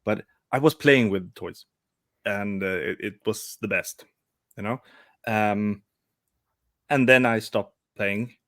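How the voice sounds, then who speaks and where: A man's voice: lowish voice, takes it slowly, long pauses, a bit bright, no room sound, clean studio sound. A man in his forties, a guest on a live stream, chatting casually with frequent restarts and hesitations. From Sweden. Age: 40s. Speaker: guest, toy customizer.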